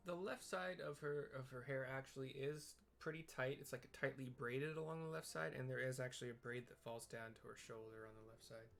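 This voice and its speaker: A game streamer casually describing what is on screen, hesitant and searching for words in halting fragments. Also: mid-range voice, vocal fry. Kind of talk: casual, improvised visual description of a game for listeners.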